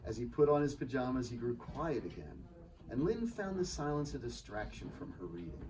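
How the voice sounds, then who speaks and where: A man's voice: mid-range voice, big pitch swings, fairly quick. A man narrating a scripted story as its author in a dramatic live reading. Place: live reading at a bookshop event. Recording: distant mic, faint room noise.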